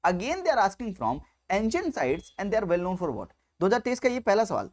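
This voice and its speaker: Very animated male exam coach. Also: high-pitched, takes it slowly. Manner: emphatic, repeats key points and asks rhetorical questions. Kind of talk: explanatory lecture to students.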